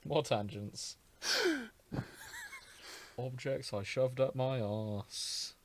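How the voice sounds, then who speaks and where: A British man with a mid-pitched voice banters as a podcast co-host. His delivery is casual, with dry humour that readily turns crude. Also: huge pitch swings, very slow.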